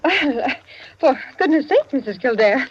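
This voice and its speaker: Very high-pitched, flustered society lady. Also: very animated, moderate pace. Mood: agitated, flustered. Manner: fluttery, exclaiming, dramatic. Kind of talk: 1940s radio acting. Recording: muffled old 1940s radio recording.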